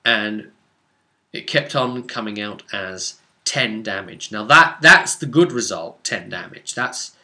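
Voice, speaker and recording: A man giving a casual, conversational recap of a role-playing game session as its game master, leaning on drawn-out words for emphasis. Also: fairly low pitch, animated, bright, forceful tone.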